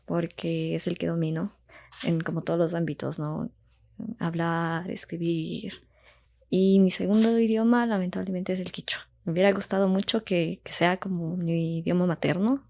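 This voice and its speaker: A woman's voice: lowish voice, gravelly, mellow. A young adult woman speaking in an interview, casual and heartfelt, with lots of fillers. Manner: chatty, heartfelt, many fillers.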